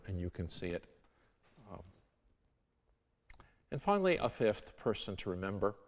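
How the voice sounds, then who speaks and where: A man's voice: on the low side, darkish tone. A man giving an informal history talk to a congregation in a church, talking conversationally and correcting himself as he goes. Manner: conversational, with restarts and self-corrections. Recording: long church reverb.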